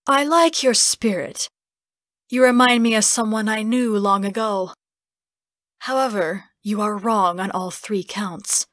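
A woman acts out an ancient being, coolly amused and condescending. The speech is measured fantasy audiobook acting, dry and close. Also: medium pitch, fairly bright tone, dry recording, close to an everyday voice.

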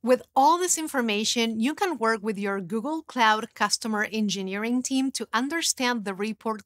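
A woman in her thirties presenting a scripted technical explainer in a studio, in a clear, even, instructive way. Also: fairly high pitch, dry recording. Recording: dry studio sound.

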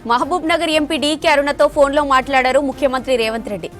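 A woman's voice: sits high, fast talker. A woman news anchor, reading a scripted bulletin. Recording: music bed under the voice. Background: news music.

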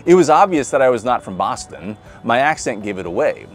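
A man's voice: medium pitch, sing-song, brisk, faint music behind. A man in his forties with a Midwestern American accent, a pastor giving an online sermon to camera in a conversational way. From Nebraska. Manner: conversational, chuckles, repeats words when restarting. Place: outdoors beside a brick building. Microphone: camera at close range.

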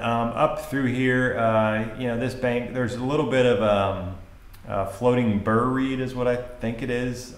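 A roomy, echoey voice-over from an American man with a fairly low voice. He explains things casually and off the cuff as a fishing guide. His delivery is hesitant, as if working it out while he talks. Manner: hesitant, casual, thinking aloud. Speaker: fishing guide video host.

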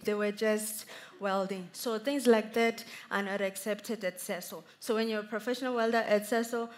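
A young South African woman talks to a hall of students through a headset mic, lively and gesturing as she goes. Her voice sits a little high for a woman, and she laughs easily between points. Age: young adult. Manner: animated, gestures while speaking, laughs easily. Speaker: welding inspector guest speaker. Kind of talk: motivational talk to students. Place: large exhibition stage, indoors.

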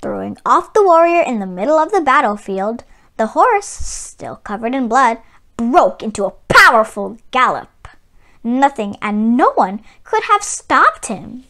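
A woman reading a children's picture book aloud, with expressive, deliberate phrasing. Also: a little high. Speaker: storyteller and read-aloud channel host.

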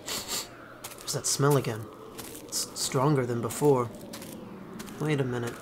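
A man's voice: mid-range voice, steady tone, slow, noisy background, natural voice. A man playing an earnest, wary and scrappy young ranger in a fantasy audio drama. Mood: wary, polite. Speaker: amateur voice actor. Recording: music behind the voice.

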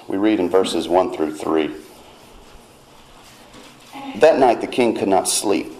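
A man with a very low voice preaches in church. His tone is gravelly, and he speaks in a measured, steady way. When he reads scripture, his emphasis rises. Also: slight background noise, rough recording. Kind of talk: sermon retelling scripture.

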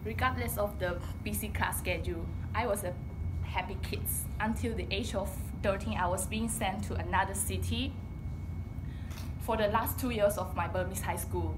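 A woman's voice: medium pitch, normal speed, noisy background. A young woman in her twenties from Myanmar, a native Chinese speaker, giving an earnest, personal prepared speech in a lecture room, recorded from a distant camera. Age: 20s. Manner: earnest, personal, with occasional restarts. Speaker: student speaker. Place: lecture room, in front of a projection screen. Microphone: far camera, no handheld mic.

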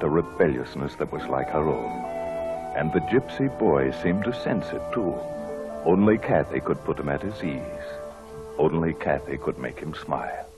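An actor playing a brooding old gentleman who narrates his memories in a 1940s radio drama. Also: very deep voice. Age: older. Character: haunted, brooding old gentleman recalling the past. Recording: old radio broadcast with organ music.

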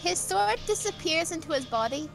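Female gamer, high animated voice, playful teasing banter, music clearly behind. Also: moderate pace. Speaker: voice actor in a group game playthrough. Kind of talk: casual joking banter.

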